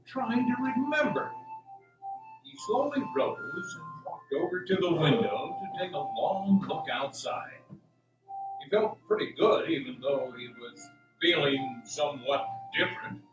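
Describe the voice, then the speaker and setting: Male radio drama narrator, higher-pitched and very animated, distant in an echoey room with faint music. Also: slow, dull audio.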